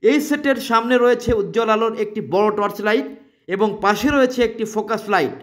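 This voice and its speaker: A man with a Bangladeshi accent presenting a product in an emphatic promotional sales pitch, repeating his selling phrases. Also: fairly quick.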